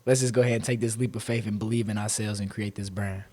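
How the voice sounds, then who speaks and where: A man's voice: lowish voice, steady tone, quick pace, a little mellow. A man in his twenties co-hosting a podcast, asking loose questions full of fillers. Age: 20s. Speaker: podcast co-host. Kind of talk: casual interview chat.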